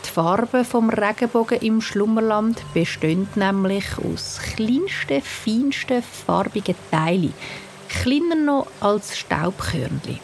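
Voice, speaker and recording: Woman, Swiss German accent, gravelly edge, gentle bedtime narration, faint music behind. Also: mid-range voice, expressive. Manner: slow, gentle, soothing delivery. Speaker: author and narrator of a children's bedtime story. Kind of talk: scripted bedtime story narration for children. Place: studio. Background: soft music and sound design.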